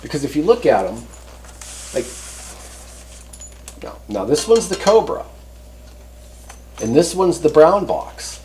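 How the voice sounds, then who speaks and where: A man's voice: a little high, very animated, darkish tone, a little hiss or hum, rough recording. Casual man talking through product tests. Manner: conversational, rambling, often pausing to rephrase. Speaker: host reviewing CB radio antennas. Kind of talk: casual unscripted product talk.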